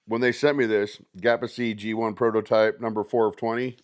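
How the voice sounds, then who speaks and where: Low-voiced man casually demonstrating a product. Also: level tone, brisk, clear, resonant tone. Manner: conversational, showing items step by step. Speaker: product reviewer.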